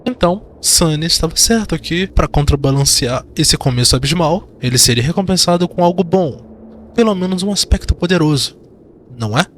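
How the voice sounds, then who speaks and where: A man's voice: a little high, expressive, moderate pace, fairly bright tone, a little hiss or hum. A man with a Brazilian accent giving dramatic scripted narration of a fantasy audio drama. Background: background music.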